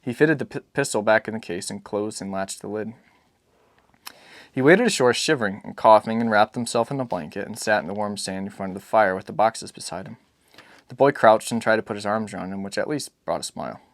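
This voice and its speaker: A man's voice: on the low side, brisk, dry recording. A man narrating a literary novel as a dramatic audiobook reading.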